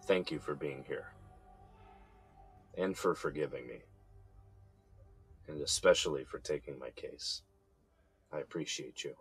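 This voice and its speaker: A man's voice: a bass voice, a little slow, pauses often, breathy edge, faint music behind, full character voice. A man playing a bitter, proud, grieving father behind bars in an audio drama, speaking in a pointed way. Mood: remorseful. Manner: pointed, rhetorical.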